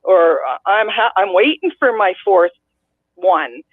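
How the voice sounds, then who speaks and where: A woman's voice: medium pitch, animated, measured pace, dry recording. A middle-aged woman from Canada calling in, telling a long, blunt, rambling story with many restarts. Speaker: caller. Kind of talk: casual call-in storytelling. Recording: dry tinny phone line.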